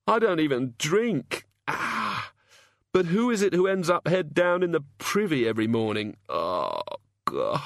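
A queasy, pitiful hangover god, voiced by a man groans his way through slow, halting sentences. The voice sits higher than the reader's own and drags on the words, and it is recorded dry and close. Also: sing-song, vocal fry, no echo. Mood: groaning.

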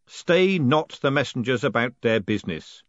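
A man voicing a commanding mystical voice made of letters, intoning slowly and solemnly. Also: mid-range voice, sing-song, no echo, dull audio, close to an everyday voice.

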